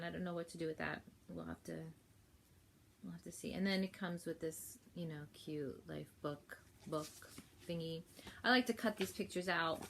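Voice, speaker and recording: A woman's voice: lowish voice, creaky, slight background noise. Chatty woman with a sniffly cold. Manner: chatty, rambling with fillers and soft laughs. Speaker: planner unboxing vlogger. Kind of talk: casual unboxing chat. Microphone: phone held while filming.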